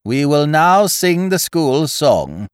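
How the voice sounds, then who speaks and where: A man's voice: mid-range voice, sing-song, unhurried, a little mellow, dry recording, clean studio sound, pitched above the performer's own voice. A man voicing a stern middle-aged schoolmistress who speaks in a cold, firm, clipped way. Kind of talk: audiobook character voice.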